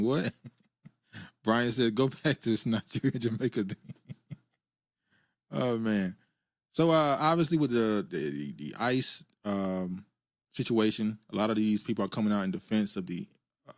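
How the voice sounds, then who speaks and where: A man's voice: lowish voice, no room sound, studio quality. A man hosting a casual commentary show, reacting to clips with frequent "uh" fillers and laughs. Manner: relaxed, laughing, filler-heavy. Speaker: show host.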